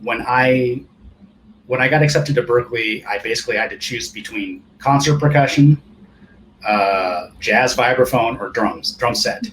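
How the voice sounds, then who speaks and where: A man in his forties who grew up speaking Japanese, telling stories of his past in a relaxed, rambling way as a guest on an interview show. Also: mid-range voice, unhurried, a little hiss or hum, poor audio. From California, United States. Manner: relaxed, rambling storyteller with many restarts. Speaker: guest percussionist. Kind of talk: casual interview chat.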